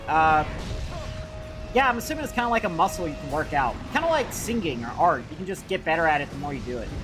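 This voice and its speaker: Young adult male, high youthful voice, animated intonation, casual gaming stream commentary, rambling, informal, sweary. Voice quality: youthful-sounding voice. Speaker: livestreamer playing a video game.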